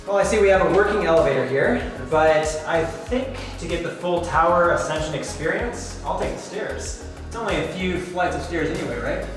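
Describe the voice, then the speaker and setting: A man's voice: fairly high pitch, animated, moderate pace. Echoey male tour host, music behind. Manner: chatty, playful. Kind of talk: presenting a guided tour to camera.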